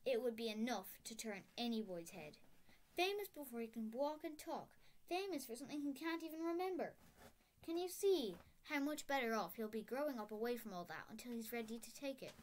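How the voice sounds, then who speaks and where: Storybook role: grave, quiet old headmaster wizard, read by a woman. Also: a little high, animated, natural voice. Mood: grave, firm. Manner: quiet, heavy, measured.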